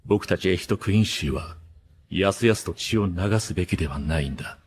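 An actor playing a stern, reserved young noble heir in an anime, husky and formal in his serious delivery. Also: low voice, a little fast, natural voice. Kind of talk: anime voice acting.

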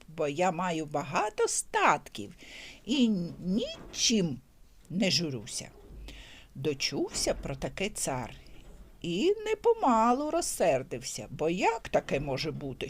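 A woman's voice: on the low side, darkish tone. An older woman with a Ukrainian accent, a grandmother reading a bedtime fairy tale aloud in a tender, sing-song way. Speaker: grandmother storyteller.

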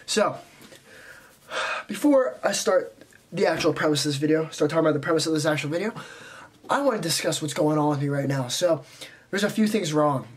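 A young man with a head cold talks straight into a close camera in a small bedroom. His stuffy, congested voice sits slightly high, and he rambles casually, jumping between asides. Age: young adult. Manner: rambling, chatty, quick asides. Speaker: vlogger. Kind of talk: casual vlog talk to camera. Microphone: camera in front at close range.

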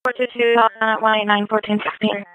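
A woman's voice: on the high side, level tone, fast. A woman working as a police radio dispatcher, repeating reports in clipped, procedural phrases over a scanner radio. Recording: noisy police scanner radio audio with clicks.

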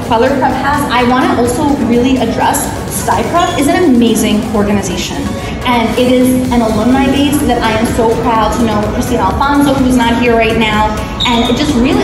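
Woman, earnest pep talk, a little high-pitched, booming lobby, loud music under it. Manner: earnest, emphatic, says "like" often. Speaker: founder of a tutoring company. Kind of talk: motivational speech to students. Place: large echoey school lobby. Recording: echoey hall, loud music over it.

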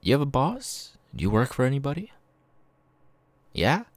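A man voicing a sadistic, gleeful masked killer in an ASMR roleplay, taunting the listener in a gravelly voice. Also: wildly swooping pitch, dry recording. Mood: chatty, condescending. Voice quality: creaky, gravelly low voice. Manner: slow, taunting, drawn-out with sudden swings. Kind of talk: ASMR villain roleplay, close and menacing.

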